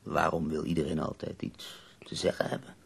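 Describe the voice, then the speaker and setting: An ailing poet for a radio drama, with a very deep, creaking voice. He sounds melancholy and weary yet dryly wry, with a husky, breathy edge that can sink toward a whisper. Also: flat delivery, normal speed, heavily acted. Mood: wry, resigned. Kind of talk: radio play acting.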